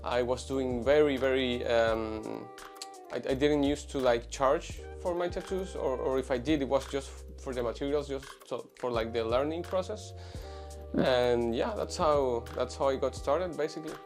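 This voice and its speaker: A man's voice: medium pitch, moderate pace, soft background music. A man in his twenties from Venezuela, a tattoo artist answering interview questions casually, with many fillers and restarts. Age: 20s.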